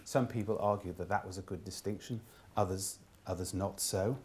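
A middle-aged man with a fairly low voice speaks to a conference as keynote speaker. He works semi-scripted from notes, halting and doubling words as he sums up his points. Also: steady tone, darkish tone, slight background noise. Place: indoor room with plain wall.

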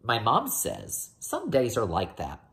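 An American man in his thirties reading a children's picture book aloud in the first person, dramatically, with drawn-out emphasis. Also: on the low side, huge pitch swings, vocal fry, fairly bright tone. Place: indoors, a room at home.

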